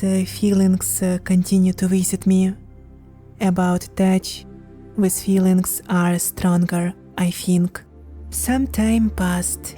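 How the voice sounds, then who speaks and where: A young Ukrainian-accented woman speaks her learned English slowly and with care, pausing on fillers as she searches for words. Her tone is soft and mellow, with quiet music playing underneath. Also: mid-range voice. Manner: careful non-native English with hesitant fillers. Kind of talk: personal vlog narration.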